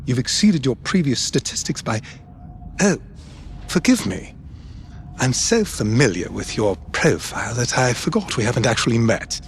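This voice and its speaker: A man's voice: medium pitch, sing-song, moderate pace, slight background noise, close to an everyday voice. A man voicing a polite, eager droid intelligence analyst, fussy, precise and formal, in a sci-fi game. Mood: polite eagerness. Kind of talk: cinematic sci-fi game acting. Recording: music behind the voice.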